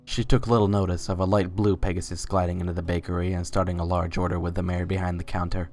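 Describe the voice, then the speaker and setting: A man narrates a scripted story. His pitch is very low and stays level from phrase to phrase. Quiet music plays behind him. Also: little pitch movement, fast talker.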